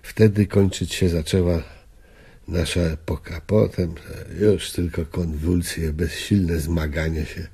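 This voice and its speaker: An actor playing a weary, nostalgic old gentleman in a radio drama, speaking wistfully in halting, declamatory phrases. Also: low-pitched, fairly even intonation, husky, full character voice. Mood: mournful. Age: older.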